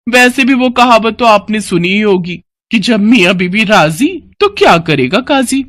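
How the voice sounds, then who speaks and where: A woman's voice: mid-range voice, lively intonation, moderate pace, fairly bright tone, dry recording, studio quality, natural voice. A woman voicing an arrogant young modern bride in a cartoon story, cheeky, defiant and bossy. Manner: haughty, cheeky.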